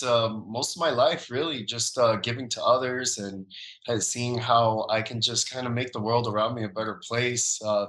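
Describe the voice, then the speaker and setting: Male podcast guest from the Dominican Republic, bright tone, roomy echoey recording, loose filler-heavy delivery. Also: lowish voice. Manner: relaxed, thoughtful, frequent um and uh fillers. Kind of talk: casual conversational interview.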